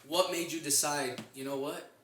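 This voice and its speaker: A man's voice: on the high side, moderate pace, crowd noise. A male podcast host with an upbeat, chummy way of talking, introducing and questioning his guest. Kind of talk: casual podcast interview. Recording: very echoey room, distant from mic, faint noise.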